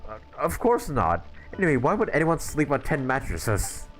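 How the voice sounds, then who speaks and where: A man's voice: mid-range voice, huge pitch swings, normal speed, soft background music, voice pushed up. A man voicing a pampered, grumbling researcher in a video game, fussy and easily flustered. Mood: defensive. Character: pampered, grumbling male scholar, fussy and nervous.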